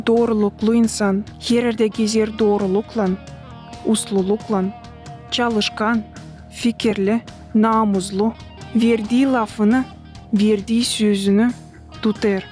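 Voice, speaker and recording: A woman voicing a patient mother who tells history to children in an animated cartoon, in a measured storytelling style. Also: mid-range voice, soft background music, natural voice. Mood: patient, instructive.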